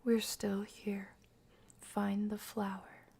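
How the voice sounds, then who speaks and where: Slow-paced, creaky-voiced woman streaming. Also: medium pitch, flat delivery, darkish tone. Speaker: game streamer. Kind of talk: casual live gameplay chat.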